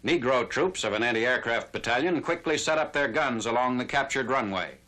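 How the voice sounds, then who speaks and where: A man's voice: medium pitch, lively intonation, fairly quick, sharp tone. A man narrating a 1940s military newsreel in a formal, factual announcer style. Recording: noisy vintage 1940s newsreel soundtrack.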